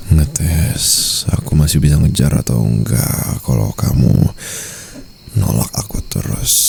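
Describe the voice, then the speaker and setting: Male actor as seductive Indonesian mafia husband, ASMR roleplay. Low, gravelly, doting and teasing, breathy up close, faint cricket ambience behind. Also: dark tone, slight background noise, full character voice. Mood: amused, praising. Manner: intimate, teasing drawl, often dropping into breathy whispers.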